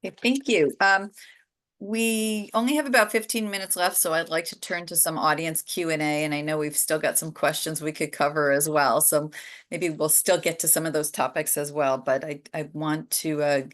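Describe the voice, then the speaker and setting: A woman moderating a webinar panel, polite and a little halting as she reads out audience questions. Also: low voice, steady tone, fairly bright tone.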